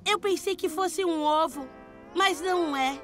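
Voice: very high-pitched, unhurried, fairly bright tone. A performer voicing a playful, naively boastful young dirt bike in a children's cartoon, chirpy and exclamatory. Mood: curious, excited. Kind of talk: animated children's cartoon dub. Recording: music behind the voice.

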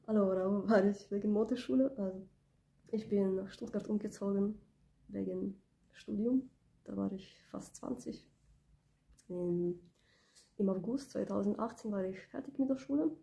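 A woman in her twenties from Ukraine, with a cold, talking softly and hesitantly in a casual vlog recorded on a phone in a small room. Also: mid-range voice, normal speed, gravelly, long pauses. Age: 20s. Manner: soft-spoken, hesitant, many fillers. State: has a cold. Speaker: beauty business owner. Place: small indoor room, plain wall. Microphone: phone camera close up.

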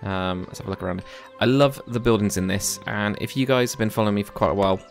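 A man's voice: on the low side, fast talker, creaky, soft background music. A man hosting a let's play, giving casual, chatty commentary and thinking aloud as he plays.

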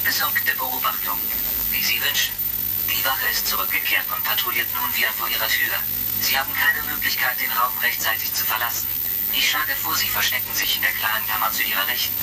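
An actor playing a polite, literal-minded combat robot in a retro sci-fi radio play, speaking formally and announcing each emotion. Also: male voice, fairly low pitch, animated, brisk, gravelly. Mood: concerned. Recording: distant, crackly vintage radio-play record. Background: music.

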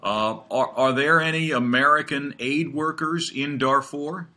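An older man hosting, asking slow, clear, encouraging questions over a video call. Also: on the high side, pushed and bright. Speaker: host. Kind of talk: friendly interview questions.

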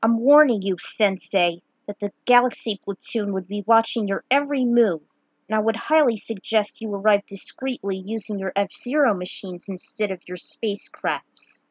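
A woman's voice: mid-range voice, moderate pace, breathy edge, no room sound, lightly acted, poor audio. Stern young man, read by a woman. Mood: stern warning.

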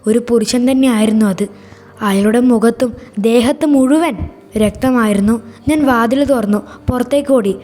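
Mellow, higher-voiced woman narrating horror. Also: normal speed. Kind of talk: scripted first-person storytelling.

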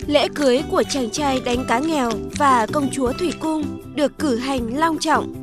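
A woman's voice: high voice, normal speed, over music. A woman narrator reading a scripted folktale.

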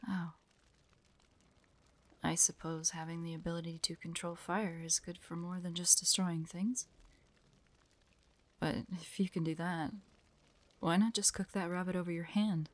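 Guarded, slow-speaking young huntress, acted by a woman. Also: lowish voice, little pitch movement. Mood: wary, puzzled. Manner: terse, suspicious, prickly, with breathy hushed asides. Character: guarded, proud young female hunter, wounded and freezing. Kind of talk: dramatic audio roleplay, addressed to an unheard listener.

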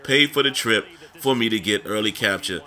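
A man hosting a gameplay video with casual commentary addressed to viewers, his voice scratchy and raspy from being under the weather. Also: fairly low pitch, normal speed, pushed and bright. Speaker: gaming video host.

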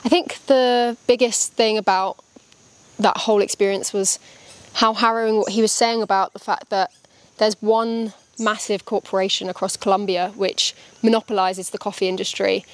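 A woman's voice: medium pitch, steady tone, moderate pace. A young woman, chatting enthusiastically to camera in a travel vlog. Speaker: travel vlogger. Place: outdoors in a hilltop tropical garden. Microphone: handheld mic.

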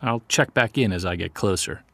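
An actor playing an earnest, wry Sasquatch investigator who records a conversational field journal for an audio drama. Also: male voice, low-pitched, moderate pace, creaky, a bit bright, no room sound. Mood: intrigued.

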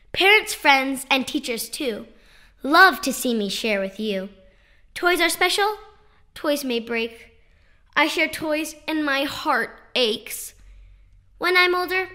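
A woman reading children's poetry aloud in an expressive, playful, sing-song and emphatic way. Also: high-pitched. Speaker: children's poetry author and reader.

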